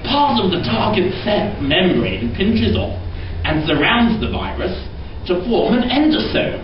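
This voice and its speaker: Young man as a high-pitched, excitable puppet scientist. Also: unhurried. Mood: keen, lecturing. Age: young adult. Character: excitable male scientist puppet, keen lecturing explainer. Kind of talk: amateur educational puppet show, scripted explanation. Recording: very echoey room, distant dull noisy microphone.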